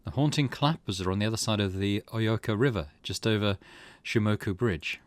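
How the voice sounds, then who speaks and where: A man's voice: lowish voice, a little fast, no echo. A man hosting a let's play, chatting casually, thinking aloud and reading game text out as he goes. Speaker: gaming channel host. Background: game music.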